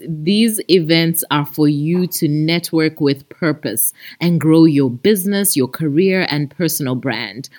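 A woman with a Zambian accent hosting a chatty podcast, talking expressively and addressing her listeners like friends. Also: sits low. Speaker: podcast host. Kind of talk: chatty podcast monologue with announcements.

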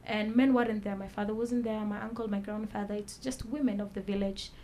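A woman telling her own story as a talk-show guest, soft-spoken and measured, pausing with fillers. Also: mid-range voice, fairly even intonation, a little hiss or hum. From Kenya. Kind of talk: personal testimony in a talk show.

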